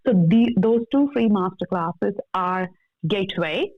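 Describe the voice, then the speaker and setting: A woman's voice: medium pitch, animated, unhurried, no echo. A woman speaking as a coaching guest in a conversational interview, earnest, emphatic and motivational. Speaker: love coach, podcast guest. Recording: thin phone-like call audio.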